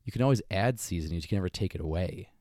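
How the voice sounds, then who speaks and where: Deep voiced guy cohost, bit scratchy from losing his voice, mellow, dry teasing, dry close mic. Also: expressive, very fast, no room sound. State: slightly scratchy voice after losing it. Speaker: podcast co-host. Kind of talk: casual podcast chat.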